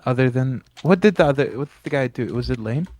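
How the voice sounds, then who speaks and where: A man's voice: mid-range voice, lively intonation, quick pace, a little mellow, no echo. A young man joining by call as a guest, chatting casually with a dry, teasing humor.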